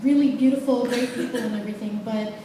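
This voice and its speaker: Woman in her forties, fairly high voice, chatty and self-deprecating, echoey hall, far from the mic. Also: steady tone, quick pace, dark tone, a little hiss or hum. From Philippines. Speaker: guest speaker. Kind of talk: personal testimony. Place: large church hall.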